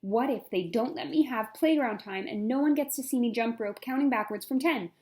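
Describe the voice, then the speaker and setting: A woman's voice: a little high, brisk, a little mellow, natural voice. Woman as an anxious, dramatic five-year-old girl. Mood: panicked, dramatic. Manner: dramatic, exclamatory, earnest. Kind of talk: picture-book read-aloud.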